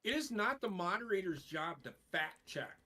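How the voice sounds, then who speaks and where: A blunt, profane man holding forth on a casual podcast. His pitch swings up and down as he rants. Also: big pitch swings, normal speed, pushed and bright. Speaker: podcast co-host. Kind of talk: casual opinionated chat.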